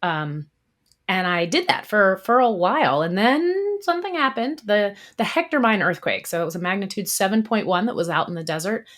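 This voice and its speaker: A woman from the US East Coast, a guest in a podcast interview, telling her story in a lively, expressive way. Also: mid-range voice, moderate pace. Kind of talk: casual interview talk.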